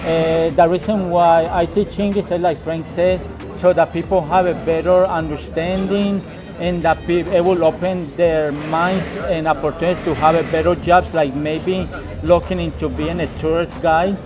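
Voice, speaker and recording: A man from Colombia who was raised in the United States, a local guide and English teacher, answering questions slowly with many fillers and repeats. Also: a little high, steady tone. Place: outdoors on stairs in a city neighborhood.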